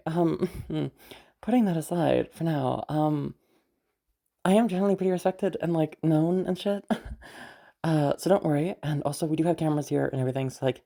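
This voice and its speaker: A woman playing a teasing, self-assured, sardonic yet protective young woman in a listener roleplay, rambling and halting as she talks. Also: low-pitched, vocal fry, mellow. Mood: flustered, reassuring. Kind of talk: roleplay audio drama addressed to the listener.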